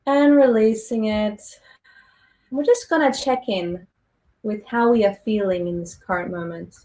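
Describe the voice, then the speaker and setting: A woman from Australia guiding an online meditation session, speaking softly and slowly with gentle pauses. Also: medium pitch. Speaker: singer and meditation guide. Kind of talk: guided meditation.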